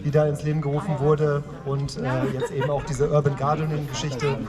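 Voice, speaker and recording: A man's voice: fairly high pitch, little pitch movement, a little fast. Casual, hesitant male emcee at an outdoor street party, crowd around. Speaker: event host. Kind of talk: stage announcement. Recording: crowd noise, distant outdoor mic.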